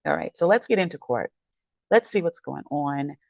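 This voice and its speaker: A woman's voice: low voice, expressive, normal speed, vocal fry, darkish tone, dry recording, studio quality. A woman legal commentator talking casually about a court case, her voice tired and worn, rambling and correcting herself as she goes. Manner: rambling, self-correcting, apologetic. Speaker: real estate agent and legal commentator. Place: home, late at night.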